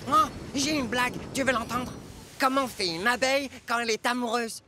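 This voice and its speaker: A woman's voice: a little high, a little fast, noisy background, natural voice. A woman voicing a friendly, joke-loving little male locomotive in a children's cartoon. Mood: cheerful.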